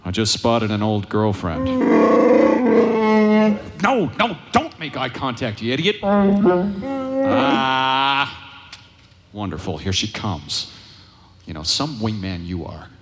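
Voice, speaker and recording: Cocky space smuggler, sarcastic wisecracks, really animated bright voice, audio drama. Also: male voice, lowish voice, slow, long pauses, a little hiss or hum, full character voice. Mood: boastful, hurried. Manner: wisecracking, swaggering. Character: cocky, sarcastic roguish smuggler pilot, adult man. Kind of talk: live audio drama acting.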